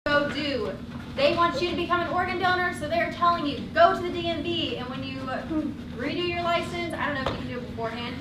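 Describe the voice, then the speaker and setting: A woman's voice: high voice, quick pace, background noise. A woman instructor teaching a college class, upbeat and encouraging, explaining step by step. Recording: roomy classroom recording from a distance.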